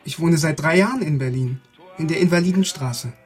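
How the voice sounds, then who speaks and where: A man's voice: a little high, a little fast, lightly acted. An actor playing a bookish young East German man, narrating and speaking in a radio drama with dry, precise irony. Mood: polite. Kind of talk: first-person radio drama narration and dialogue. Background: music.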